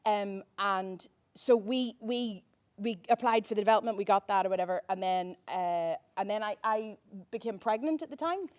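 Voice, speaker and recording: A woman's voice: mid-range voice, moderate pace, smooth. A woman with an Irish accent, a screenwriter on a festival panel, speaking hesitantly with many ums and restarts. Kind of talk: panel discussion.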